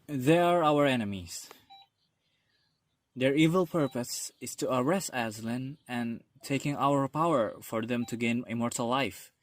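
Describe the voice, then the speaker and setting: A man's voice: mid-range voice, no echo, close to an everyday voice. A man with an Indonesian accent playing an earnest young protector from a secret order in an amateur radio drama. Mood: urgent, explaining. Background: loud music.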